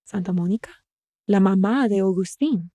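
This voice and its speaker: A woman narrator performs a young mother from a short story, tender, devout and sorrowful. Her gentle speech slips between English and Spanish, mellow in tone and recorded very dry. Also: mid-range voice, moderate pace, dry recording, natural voice. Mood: anxious, reflective. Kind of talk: short-story audio reading.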